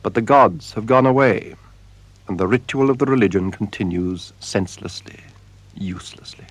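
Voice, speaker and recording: A man narrating a radio drama in a solemn, measured way, with deliberate dramatic pauses. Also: on the low side, husky. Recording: radio drama with music behind. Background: music.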